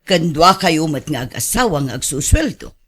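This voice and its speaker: Woman playing older aunt, frank and probing, very animated intonation, Ilocano Filipino accent, radio drama. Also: low-pitched, moderate pace, breathy edge, full character voice. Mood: curious. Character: older, frank, questioning aunt who lends money.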